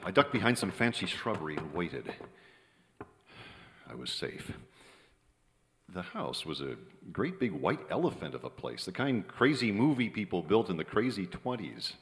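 A man's voice: low voice, sing-song, normal speed. A man playing a cynical, broke screenwriter in an old-time radio drama, with a wry, colloquial delivery. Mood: wry, weary. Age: middle-aged. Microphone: stand microphone on stage. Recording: reverberant theater stage recording.

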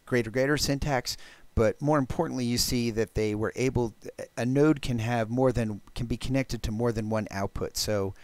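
A man giving a live technical walkthrough of code as a presenter, explaining deliberately and haltingly with pauses. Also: medium pitch, darkish tone, no room sound. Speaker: presenter of a programming talk.

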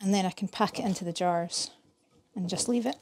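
Mellow-voiced woman chatting in her kitchen. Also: mid-range voice, fairly quick, slight background noise. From Scotland. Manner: chatty, explanatory, with fillers. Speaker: vlogger. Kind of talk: casual narration while cooking. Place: home kitchen by a window.

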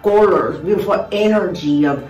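A woman's voice: fairly low pitch, moderate pace, darkish tone, muffled recording, lightly acted. Woman acting a gushing male maestro, roomy sound. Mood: rapturous. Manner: gushing, poetic. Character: effusive male orchestra conductor, dreamy and passionate. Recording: roomy, distant, music behind.

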